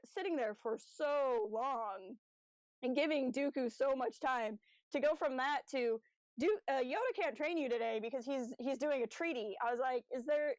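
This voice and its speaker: Higher-pitched woman, enthusiastic podcast chat. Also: no room sound. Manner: animated fangirl enthusiasm, acting out imagined dialogue. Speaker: podcast host. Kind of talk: casual chat about a fan audio drama.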